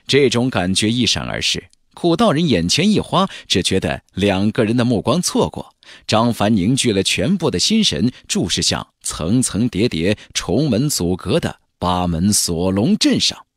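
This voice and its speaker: A man narrating a fantasy audiobook in a theatrical, expressive storytelling manner. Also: fairly low pitch, moderate pace, no echo, studio quality. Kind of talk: dramatic scripted narration of a fantasy novel. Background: background music.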